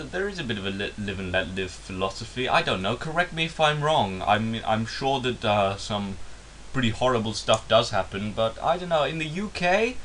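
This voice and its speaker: A man's voice: mid-range voice, a bit bright, a little hiss or hum. A young English man talking in a put-on Indian English accent, rambling and self-mocking in a casual vlog monologue. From England. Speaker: YouTuber.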